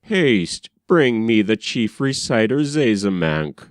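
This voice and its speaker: A man's voice: fairly low pitch, very animated, takes it slowly, dry recording, clean studio sound. A man playing a stately, commanding king of ancient Egypt in formal storybook speech.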